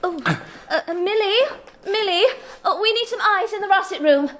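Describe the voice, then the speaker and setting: A woman playing an excitable, fluttery genteel lady in a radio drama. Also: very high voice, normal speed. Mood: fussing, alarmed.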